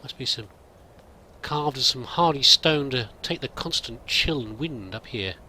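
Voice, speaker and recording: A man's voice: mid-range voice, expressive, measured pace, sharp tone, slight background noise. A man roleplaying a pilgrim in a game, musing and thinking aloud in a hesitant, wandering way. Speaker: let's play roleplayer. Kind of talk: in-character game commentary.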